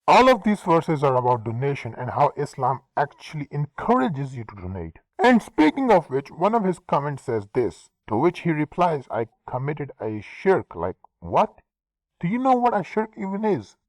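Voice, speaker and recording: Pakistani man, heated sarcastic rant, lively swinging pitch, dry close sound, swears freely. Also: moderate pace, no room sound, poor audio. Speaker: commentary channel host. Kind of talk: angry response commentary.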